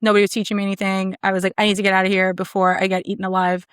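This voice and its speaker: A woman's voice: medium pitch, little pitch movement, rapid-fire, smooth, no room sound. Chatty woman from Maryland, dry sound. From Maryland, USA. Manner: confident, chatty storytelling. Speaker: podcast guest. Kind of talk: casual conversation.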